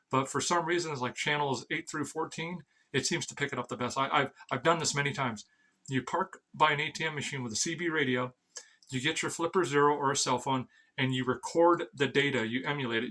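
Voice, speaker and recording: A man's voice: medium pitch, sharp tone. A man giving a rambling, informal explainer as an amateur vlogger, blunt and sweary, often stammering over repeated words.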